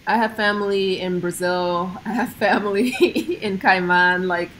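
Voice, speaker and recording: A woman co-hosting, telling a personal anecdote in lively, casual chat. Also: medium pitch, unhurried, slight background noise, rough recording. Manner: animated anecdote-telling, acts out quotes. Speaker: co-host.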